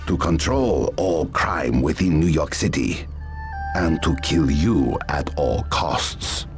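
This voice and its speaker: An actor voicing a grave, formal, resigned crime boss in a video game cutscene, speaking in a solemn and measured way. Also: male voice, a bass voice, expressive, soft background music, heavily acted.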